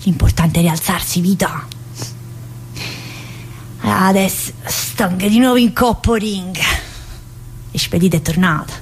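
A woman playing a chatty, warm-hearted, middle-aged female prison inmate in a theatrical monologue, meandering and full of little "eh"s. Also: lowish voice, a little slow, long pauses, slight background noise. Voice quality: chatty and meandering, studded with "eh" and direct address. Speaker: stage actor in a solo play.

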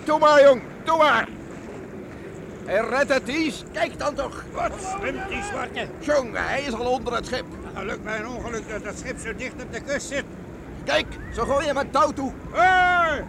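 An actor in a Dutch radio play, playing an excited villager on the shore who shouts and exclaims repeatedly. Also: male voice, extremely high pitch, a little slow, vocal fry, full character voice. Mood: tense excitement. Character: frantic villagers on shore watching a sea rescue. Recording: noisy outdoor storm scene, crowd behind.

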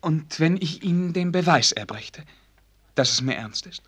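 An actor in a German radio play voicing an earnest, lovesick young prince, pleading in a formal, courtly manner. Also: male voice, fairly high pitch, lively intonation, normal speed, a bit bright, husky.

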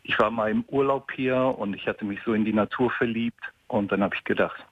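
A man's voice: fairly low pitch, fairly even intonation, brisk, slightly rough, dry recording. A cheerful man in his fifties from Portugal, calling in to a radio show, who laughs often and gets a little scattered. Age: 50s. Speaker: caller. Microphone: phone line. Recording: dull phone line.

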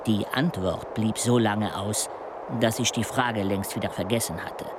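An actor playing an expedition member who narrates a mountain climb in a reflective, literary way for a radio play. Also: male voice, lowish voice, steady tone, a little slow, heavy background noise, close to an everyday voice. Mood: reflective, uneasy. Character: adult male expedition member recounting a dizzying mountain climb.

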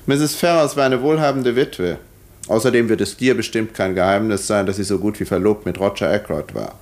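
An actor playing an urbane village doctor who narrates a 1950s radio drama with dry, measured irony. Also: male voice, lowish voice, natural voice. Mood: guarded, dismissive. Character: wry, urbane village doctor telling his own story.

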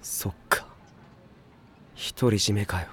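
An actor playing a scrappy, devoted teenage schoolboy in an anime audio drama, rough, impulsive and overdramatic. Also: male voice, fairly low pitch, steady tone, takes it slowly. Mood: sulky.

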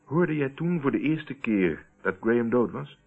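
An actor playing a steady, probing man who confronts a murderer in a radio play, speaking in a deliberate, controlled, accusing way. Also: mid-range voice, creaky. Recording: tinny, narrow-band old radio recording.

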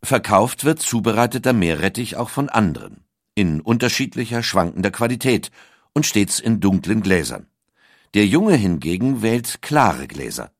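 A man reading a scripted documentary audiobook in a measured, articulate storytelling manner. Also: fairly low pitch, very animated, no echo, clean studio sound. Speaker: narrator.

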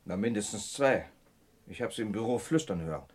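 An actor playing a gruff, injured truck driver, an earthy, sardonic bachelor with slangy speech, in a vintage German radio crime play. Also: male voice, on the low side, lively intonation, a little fast, darkish tone. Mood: indignant, wry.

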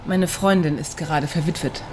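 An actress playing a cool, quick-witted and protective friend, speaking dryly in a radio crime drama. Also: female voice, sits low, close to an everyday voice. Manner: dry, sharp-tongued, unruffled. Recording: studio radio play, music behind.

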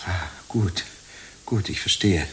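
An actor playing a naive, insecure young man in love in a radio play, earnest and halting, often repeating himself. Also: low voice, a bit bright, a little hiss or hum. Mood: bewildered.

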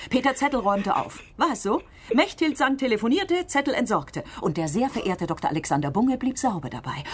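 An actress playing a sharp, determined female politician in a radio crime drama, questioning in a probing, insistent way. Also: medium pitch, breathy edge.

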